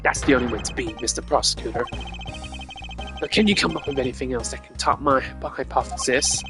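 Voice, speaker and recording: A man's voice: mid-range voice, a little fast, over music, natural voice. A man voicing a brash, informal, confident detective in a video game, taunting his rivals. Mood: cocky, determined.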